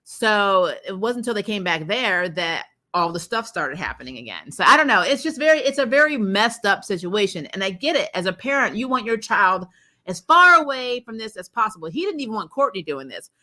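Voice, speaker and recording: A woman with a bright, sharp-edged voice at an ordinary pitch hosts a casual livestream. She reacts with animated opinions and stresses her points by repeating words. Her delivery is chatty and informal, aimed straight at her viewers. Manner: animated, opinionated, quick to laugh. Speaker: host of a TV show review livestream. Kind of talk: casual livestream chat, reacting to episodes and reading viewer comments.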